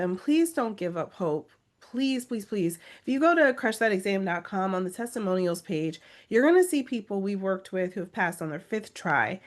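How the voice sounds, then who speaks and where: A woman coaching test-takers on a live video webinar, reading comments aloud and urging them on with emphatic repetition. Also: medium pitch. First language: English. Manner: encouraging, pleading, emphatic repetition.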